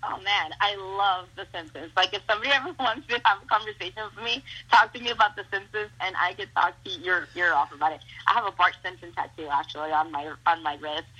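Spanish-native lady wrestler, super bright kinda raspy voice, gushy and excited, says like a lot. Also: medium pitch, quick pace, hardly any pauses, rough recording. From Mexico. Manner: upbeat, gushing, heavy use of "like" and "awesome". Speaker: professional wrestler. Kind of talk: casual interview.

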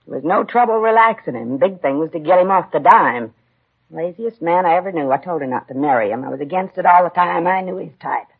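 A woman's voice: on the low side, lively intonation, a little fast, husky. An actress playing a bitter, stubborn older woman in a 1950s American radio drama, blunt and querulous with folksy grammar. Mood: scornful. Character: bitter, stubborn, complaining old mother-in-law. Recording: tinny, narrow-band 1950s radio recording.

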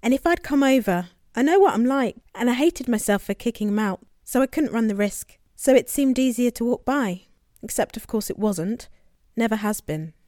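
A woman's voice: a little high, animated, moderate pace, warm tone, no echo, natural voice. A woman playing a guilt-ridden, troubled sister in an audio drama monologue, confessional and self-justifying. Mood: guilty, defensive.

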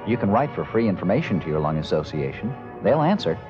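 Guy doing a psa, lowish voice that swings around a lot, music up behind him. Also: sing-song, fast. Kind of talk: public service announcement. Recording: dull lo-fi radio spot over music.

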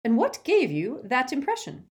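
A woman reading aloud, voicing a cold, menacing male professor who speaks in a slow, silky, measured way. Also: high voice, huge pitch swings, pitched above the performer's own voice. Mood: silky, suspicious.

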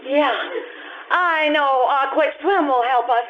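A woman acting a tipsy, teasing society lady in a radio play. She speaks very high and unhurried, with a playful manner, on a muffled recording with some noise. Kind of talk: old-time radio comedy acting. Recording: dull, noisy stage radio-play recording.

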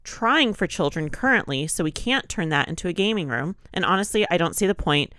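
A woman with a slightly low voice talks informally as a podcast co-host. She sounds a bit congested and nasal, as if from allergies, and moves between loose chat and reading posts aloud. The sound is close and dry. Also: animated, fairly quick, a bit bright, dry recording. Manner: informal, chatty.

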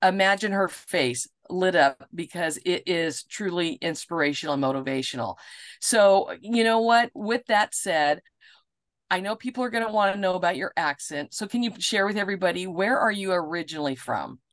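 A woman's voice: fairly low pitch, lively intonation, moderate pace, dry recording. A woman hosting a podcast interview, effusive and enthusiastic when introducing her guest. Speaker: podcast host.

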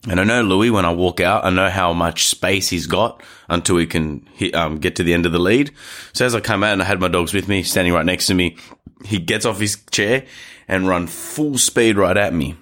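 A man's voice: low-pitched, normal speed, fairly bright tone. A man with a nasal, stuffy voice from hay fever, talking casually about dog safety as a podcast host, rambling through a story with restarts. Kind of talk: casual advice talk. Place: studio.

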